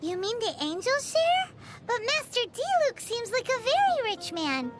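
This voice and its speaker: A woman voicing a tiny, perky, childlike female companion with a squeaky voice in a video game, music behind her. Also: wildly swooping pitch, a little slow, exaggerated voice. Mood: chatty, cheerful. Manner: refers to self in third person, exclamatory. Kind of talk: video game character dialogue.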